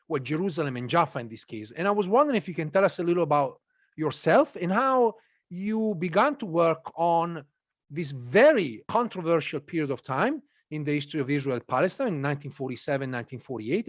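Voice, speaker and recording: A man's voice: on the high side, no echo, clean studio sound. A man hosting an interview podcast, introducing his guest formally with some hesitant fillers. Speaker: podcast host.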